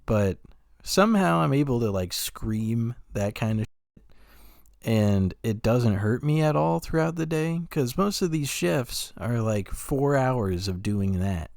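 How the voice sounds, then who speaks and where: A man's voice: medium pitch, lively intonation, creaky, darkish tone, no echo, clean studio sound. A man co-hosting a podcast, chatting casually and hesitantly, often murmuring agreement. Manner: slow, hesitant talk with frequent backchannel murmurs. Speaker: podcast co-host. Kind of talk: casual chat.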